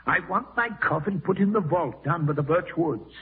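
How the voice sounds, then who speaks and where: A man's voice: on the high side, normal speed, breathy edge, recorded from a distance. An actor playing an ailing, domineering old man who dreads being buried alive, in 1940s radio horror style. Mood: urgent insistence. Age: older. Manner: insistent, commanding, brooding. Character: old ailing man, morbidly fearful of live burial, domineering. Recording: muffled vintage radio broadcast, thin narrow band.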